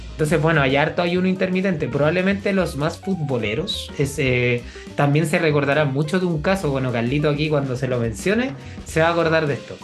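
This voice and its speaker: A young man with a Chilean accent, speaking in a slightly higher register. He chats casually with his co-host, stacking up fillers and laughing easily. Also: a little fast, soft background music. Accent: Chilean Spanish. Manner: chatty, laughs often, many fillers. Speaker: podcast co-host. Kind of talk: casual chat.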